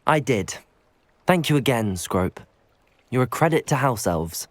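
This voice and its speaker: A man's voice: mid-range voice, sing-song, measured pace, no room sound. An actor playing a polite, curious young male wizard student in a video game, with an earnest manner. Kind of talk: video game character acting.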